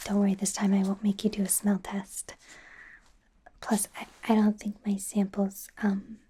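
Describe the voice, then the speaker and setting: A woman playing a friendly, flustered young werewolf doctor in training in an ASMR roleplay, speaking in a soothing, hesitant, breathy whisper. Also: mid-range voice, monotone, moderate pace, warm tone, a little hiss or hum, natural voice. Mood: gently reassuring. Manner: soothing, hesitant, rambling, self-correcting.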